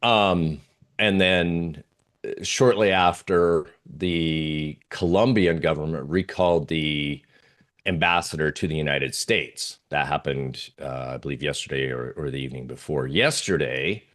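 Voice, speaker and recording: A man giving unscripted analysis as an interview guest, talking through slides in a halting, rambling way with pauses and repeated phrases. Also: rumbling low, a bit bright. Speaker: interview guest, geopolitical oil trading analyst.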